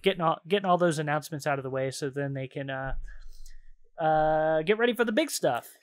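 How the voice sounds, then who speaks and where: A man's voice: on the high side, animated, brisk. A man hosting a podcast, chatting casually about video games in an informal, slangy, easily amused way. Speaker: podcast host.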